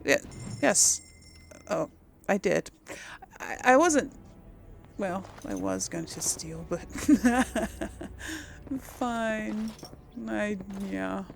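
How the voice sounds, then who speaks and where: A woman's voice: mid-range voice, sing-song, drawn-out words, gravelly, long pauses. A woman giving casual, chatty gameplay commentary as host, musing aloud and often trailing off. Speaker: gaming video host.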